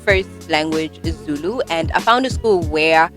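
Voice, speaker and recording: A young South African woman with a Zulu first language talks in a loose, chatty way, leaning on "like" as she goes. Her voice sits a little low. Hip hop music plays in the background. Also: measured pace. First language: isiZulu. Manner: chatty, lots of "like" fillers, laughs often. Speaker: co-host teaching Zulu. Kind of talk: casual chat.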